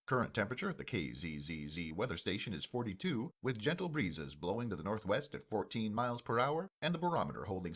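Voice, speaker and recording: Man playing an animated, polished radio news anchor. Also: on the low side. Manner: polished, formal announcer delivery. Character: male radio news anchor, earnest and professional. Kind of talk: radio news and weather reading.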